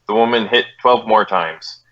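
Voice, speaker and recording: A man's voice: on the low side, normal speed, fairly bright tone, highs cut off, lightly acted. An American man playing a terse, businesslike police detective in a clipped, matter-of-fact 1950s radio drama style. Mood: flat, official. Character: terse, businesslike male police detective, narrating his case.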